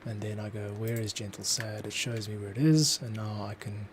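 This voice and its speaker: A man's voice: on the low side, steady tone, moderate pace, a little hiss or hum. A man, a composer, talking through his scoring process as he works, thinking aloud. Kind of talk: thinking aloud tutorial commentary.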